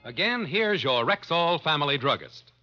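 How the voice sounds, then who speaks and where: A man's voice: on the high side, big pitch swings, normal speed, sharp tone. An American man announcing sponsor and credits in a formal old-time radio style. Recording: dull old radio recording with music.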